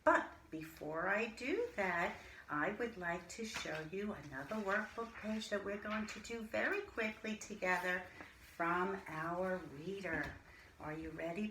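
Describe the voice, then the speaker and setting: A woman teacher reading and explaining to young children in simple, deliberate language with questions. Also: medium pitch, fairly bright tone. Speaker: early-grade teacher reading a picture book aloud to children. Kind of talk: storybook read-aloud and children's lesson. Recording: roomy echo, clear background noise.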